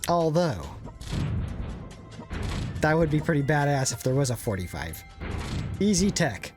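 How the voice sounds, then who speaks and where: Young guy rambling and joking while he plays a war strategy game, game music going loud behind him. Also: fairly high pitch, very animated, measured pace. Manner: rambling, joking, self-deprecating asides. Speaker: gaming channel host. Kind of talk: casual gameplay commentary.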